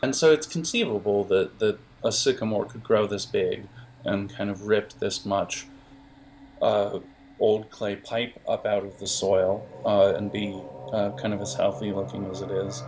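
A man improvising the narration of a tabletop adventure as game master, in a halting, conversational way. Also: lowish voice, fairly even intonation, a little mellow, soft background music.

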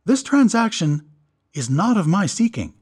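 A man's voice: high-pitched, very animated, unhurried, darkish tone, husky, pitched above the performer's own voice, heavily acted. A man voicing a sly, dry-witted doctor who speaks in a purring, wry way. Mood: cool. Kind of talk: audiobook character voice.

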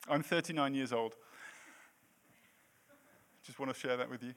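A man's voice: mid-range voice, moderate pace, fairly bright tone, a little hiss or hum. A man in his late thirties preaching a sermon in a church, with a self-deprecating, jokey manner between points. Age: 30s. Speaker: pastor.

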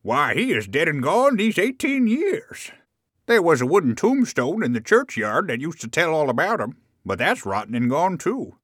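A piping old villager from a storybook, thin and husky. The voice sits high and swoops dramatically as he matter-of-factly passes on old news. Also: very animated, normal speed, a bit bright, no room sound, studio quality, exaggerated voice. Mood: mournful recollection.

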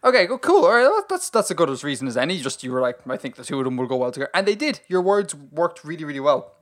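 A man co-hosting a podcast, quizzing his partner with quick, encouraging questions in casual chat. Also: fairly high pitch, very animated. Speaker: podcast co-host.

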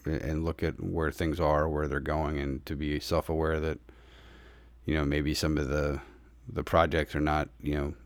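A male podcast host with a deep, low-set voice, recorded dry and close. He feels his way through questions with a string of "you know"s and half-finished thoughts. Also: flat delivery, moderate pace, no room sound. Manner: loose, searching phrasing with many fillers. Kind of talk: casual interview talk.

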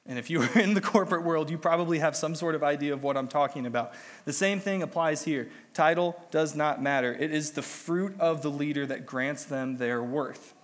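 A man's voice: fairly high pitch, fairly quick. A man delivering a sermon while he has a cold, sounding a little nasal, teaching in a conversational, self-deprecating way. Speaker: lay preacher.

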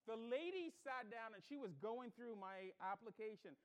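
A man's voice: extremely high pitch, lively intonation, normal speed. A man from the Bahamas, middle-aged, preaching as a pastor with personal storytelling and an emphatic, repetitive, questioning delivery. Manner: emphatic, with repeated phrases and rhetorical questions.

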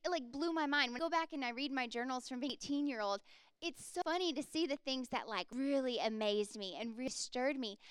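A woman's voice: high-pitched, clear, resonant tone, no room sound. A woman in her thirties preaching a sermon in an earnest, impassioned way. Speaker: speaker.

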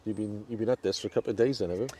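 A man hosting a casual interview, putting short, quick questions to his guest. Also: on the low side, fairly even intonation, mellow, slightly rough. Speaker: interviewer, host.

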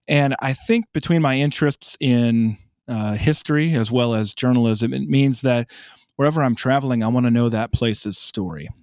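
A man from South Dakota, around forty, speaks as a reflective interview guest. He often repeats a word as he finds his thought. His voice sits at an ordinary middle pitch and is recorded dry and close. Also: moderate pace, darkish tone, dry recording. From South Dakota, USA. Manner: thoughtful, repeats words when starting a thought.

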